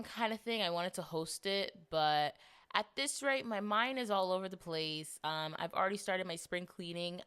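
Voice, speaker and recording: A young woman in her twenties hosting a casual solo podcast, chatting and rambling with animated asides, a little congested. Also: low-pitched. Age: 20s. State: slight congestion. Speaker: podcast host.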